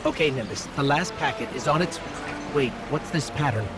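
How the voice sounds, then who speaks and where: A man's voice: medium pitch, expressive, natural voice. A man voicing an earnest little robot helper. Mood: focused. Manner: brisk, attentive, matter-of-fact. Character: small floating robot companion, earnest and helpful. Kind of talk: video game voice acting. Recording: game audio with very loud music under.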